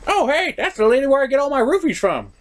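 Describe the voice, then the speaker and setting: Man putting on an excitable high-pitched puppet sidekick. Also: fast talker. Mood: brash, shameless. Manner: eager, brash outbursts.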